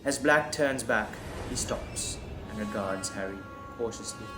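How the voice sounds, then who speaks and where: A man reading scripted dramatic narration in a deliberate, suspenseful manner. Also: lowish voice. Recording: echoey, music underneath. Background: music.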